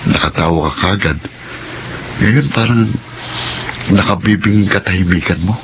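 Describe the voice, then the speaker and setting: Man acting, low voice, devoted young boyfriend, earnest working man, radio drama, noisy recording. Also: normal speed, close to an everyday voice. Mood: concerned.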